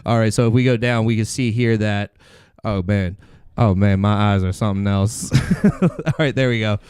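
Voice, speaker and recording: A man's voice: fairly low pitch, steady tone, normal speed, darkish tone, clean tone, dry recording, clean studio sound. A man hosting a casual chat, riffing in slangy language and laughing often as he talks. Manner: laughs often while talking; slangy, says dude and like a lot. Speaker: podcast host.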